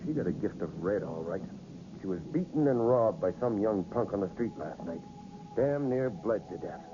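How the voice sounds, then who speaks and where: An actor playing a tough, skeptical police detective who questions curtly and bullyingly, in a 1980s radio drama style. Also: male voice, on the low side, lively intonation, moderate pace, natural voice. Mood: hard, accusing. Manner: curt, bullying interrogation. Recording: dull, noisy old radio broadcast recording.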